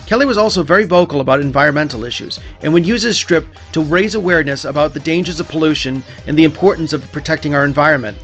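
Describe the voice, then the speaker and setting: Guy hosting a live podcast, highish voice, intro talk w music behind. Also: fairly bright tone. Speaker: podcast host. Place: live venue at a hotel.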